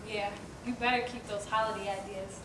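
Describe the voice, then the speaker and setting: A woman's voice: medium pitch, steady tone, brisk, distant mic, close to an everyday voice. A woman playing a wary young fish classmate in a children's stage play. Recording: echoey, noisy hall from afar.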